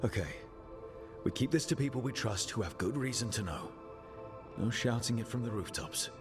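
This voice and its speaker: An actor playing a steady, empathetic leader in a fantasy game, speaking in a measured, gentle way. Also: male voice, mid-range voice, music in the background, close to an everyday voice. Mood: thoughtful. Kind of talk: dramatic game cutscene dialogue.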